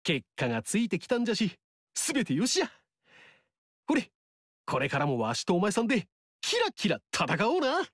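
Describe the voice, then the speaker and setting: Teasing rustic warlord, lively swinging voice, dry close sound. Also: male voice, sits high, normal speed, dry recording, heavily acted. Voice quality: rustic dialect speech, teasing. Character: folksy upstart warlord, cheeky older man. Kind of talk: video game voice lines. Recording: dry studio recording.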